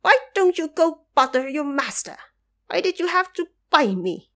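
A woman's voice: big pitch swings, normal speed, a bit bright. A woman voicing an indignant male Monkey King who curses angrily in an audio drama. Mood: furious. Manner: high, angry outbursts.

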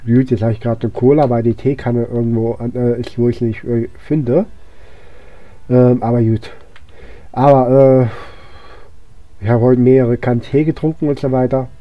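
Hoarse man rambling over gameplay. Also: mid-range voice, steady tone, moderate pace, long pauses, no echo, lo-fi sound. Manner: rambling, many fillers and restarts. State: hoarse from a cold. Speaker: let's play gamer. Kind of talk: casual gameplay commentary.

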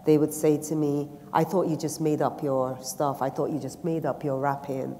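A woman from Guyana talks on a festival panel before an audience, thoughtful and halting, with frequent ums and restarts. Her voice sits low. The theatre's long reverb trails behind each phrase. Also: little pitch movement, mellow. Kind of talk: personal reflection and reading aloud on a stage panel. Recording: long reverb of a large hall.

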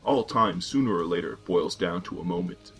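Death as a patient, ancient figure of the end, read by a male storyteller. The voice sits very low and unhurried, each word placed with weight, faint music behind. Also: lively intonation, fairly bright tone. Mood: grave. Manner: slow, grave, measured.

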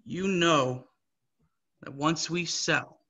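A young man voicing a weary, disillusioned male investor, with quiet, resigned delivery at a table read over a video call. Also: a little high, extremely slow, full character voice. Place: small home room. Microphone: webcam on a video call. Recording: slightly muffled webcam audio.

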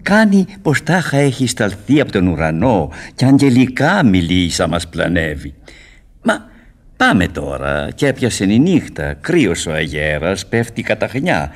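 A lowish male voice that swoops dramatically up and down gives us a scholar's assistant in a radio play. He is chatty, skeptical and a little pedantic, delivering verse in theatrical sing-song. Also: exaggerated voice. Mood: warning, then fussy, chilly. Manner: animated, sing-song declamation.

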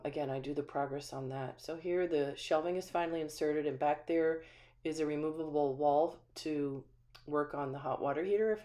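A woman with a low voice gives a friendly home tour, talking casually and often pausing with ums. She walks through a small empty house, the camera held in hand. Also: warm tone. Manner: friendly, chatty, frequent ums. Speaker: manufactured home community manager. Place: empty small house, indoors, then voice-over.

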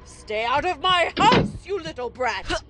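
A woman's voice: high-pitched, sing-song, a little slow, very noisy. A woman voicing a vain, menacing teacher, a theatrical scolding villain in a cartoonish mobile game. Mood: angry, threatening. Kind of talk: exaggerated mobile game voice acting. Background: game music.

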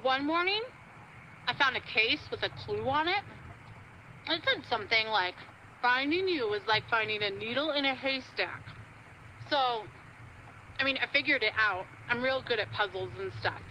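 High, bright, breezy female beachcomber caller. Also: animated, moderate pace, pauses often, a little hiss or hum, rough recording. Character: smug, easygoing female beachcomber calling a radio show. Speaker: radio caller. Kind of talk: radio drama acting in a video game.

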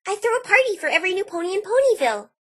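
A woman's voice: extremely high pitch, sing-song, very fast, sharp tone, heavily acted. A woman voicing a childlike, scatterbrained, bubbly cartoon girl pony with a perky, chirpy, naive delivery. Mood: excited, chirpy.

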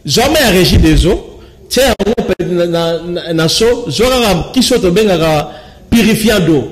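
This young man speaks with a Congolese accent, mixing French and Lingala as a guest on a TV studio set. His voice sits high and he talks in animated bursts, gesturing as he goes and often restarting his sentences. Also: slow pace, low-quality recording. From Kinshasa, Congo. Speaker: studio guest, local resident. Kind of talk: studio interview, animated talk. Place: TV studio with screen backdrop.

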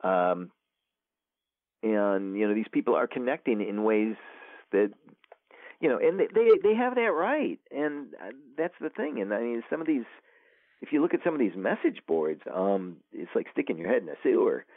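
A male author as interview guest, talking in a rambling, animated way with many restarts over a phone line. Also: medium pitch, normal speed, no echo. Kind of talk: casual interview conversation. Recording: tinny phone line, dry.

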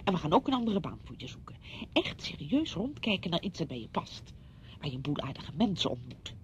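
A brisk, condescending woman in a radio drama. Her voice is lowish and swings widely as she fusses and chatters. The old recording is dull, with clear low rumble. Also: big pitch swings. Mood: brisk, chatty. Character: bossy, brisk, patronising woman visiting a widowed friend. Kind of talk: Dutch radio play acting.

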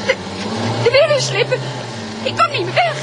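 A nervous, polite woman in an old radio thriller. Her voice is extremely high, hesitant and anxious. There is clear noise on the recording. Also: lively intonation, normal speed. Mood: frightened. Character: nervous, polite woman acting as police decoy. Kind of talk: Dutch radio play acting.

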